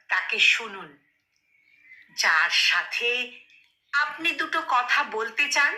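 Woman doing a poetry recital, really slow n deliberate, high voice, bit of room echo. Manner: slow, expressive, deliberate phrasing with pauses. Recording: roomy echo on the voice.

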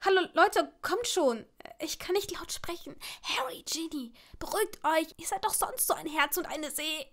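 A woman voicing an earnest, anxious young woman in an audiobook story, whispery, breathy and pleading. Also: very high-pitched, expressive, rapid-fire, hardly any pauses, voice pushed up.

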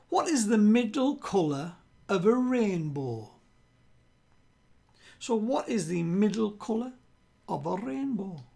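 An older man with a British accent hosts a quiz. His voice is husky and full of creak, and he takes his time. He delivers things deliberately, often saying them twice over. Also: high voice, a little mellow. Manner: deliberate, repeats himself. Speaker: quiz host. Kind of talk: reading quiz questions to camera. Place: small room at home with bookshelves.

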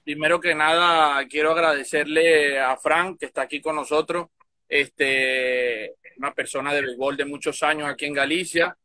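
A man's voice: fairly high pitch, fairly even intonation, normal speed, pushed and bright. A man, speaking for a baseball club in an interview, hesitant and full of fillers, recorded outdoors on a phone. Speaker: club representative, guest. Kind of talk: casual interview answers. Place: outdoors by a field.

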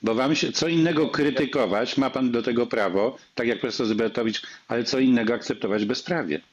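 A man hosting a political talk show, moderating the debate in a halting way and repeating words. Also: mid-range voice. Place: indoors on a video call. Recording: dull, muffled video-call audio.

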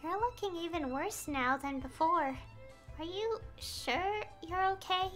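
A woman's voice: very high-pitched, lively intonation, unhurried, fairly bright tone. A woman doing casual live game-stream commentary, chatting and reading dialogue choices aloud. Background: game music.

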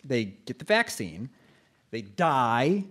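A man's voice: mid-range voice, sing-song, takes it slowly, fairly bright tone, exaggerated voice. An actor playing a nervous, flustered male radio host in a live comic radio drama, stammering and hesitant. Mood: exasperated.

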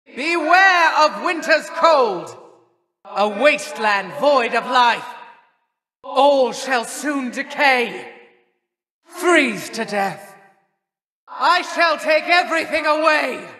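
A bitter winter goddess whose very high, sharp voice rings out with a long reverb. She declaims slowly and intensely, cold and grim. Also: lively intonation, heavily acted. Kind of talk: video game voice lines.

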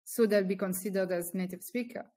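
A woman's voice: medium pitch, fairly even intonation, brisk, warm tone. Woman thinking aloud over a video call. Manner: thoughtful, many ums and restarts. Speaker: guest, founder. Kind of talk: casual interview chat. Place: small room at home. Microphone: laptop webcam on video call.

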